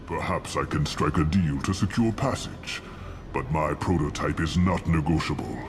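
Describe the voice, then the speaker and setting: An actor playing an old, fanatical alien warlord scientist in a video game, proud and cold, with a gravelly, grandiose delivery. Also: male voice, a bass voice, music in the background. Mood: cold conviction. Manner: grandiose, deliberate, declamatory.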